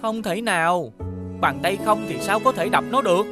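A woman voicing a naive, bullied young boy with an earnest, plaintive manner in an animated fairy tale. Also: medium pitch, moderate pace, over music, close to an everyday voice. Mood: eager, doubtful.

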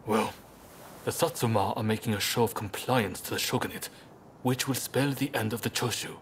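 A sober samurai leader from a game drama, acted by a man, pragmatic and deliberate. His voice sits on the low side and is measured and serious, over a noticeable rumbling noise. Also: close to an everyday voice. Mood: grave. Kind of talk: video game cutscene acting.

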